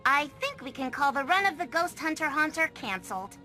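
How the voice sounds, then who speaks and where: A woman's voice: high voice, sing-song, a little fast, sharp tone, faint music behind, exaggerated voice. A woman voicing a clever, assertive young female sleuth in a cartoon game, explaining things pointedly. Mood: accusing.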